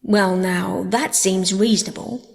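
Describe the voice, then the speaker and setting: A woman voicing a shy, quiet countryman in an audiobook, halting, reserved and folksy. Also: mid-range voice, fairly even intonation, gravelly, in a large hall. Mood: shy, mild. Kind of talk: audiobook character voice.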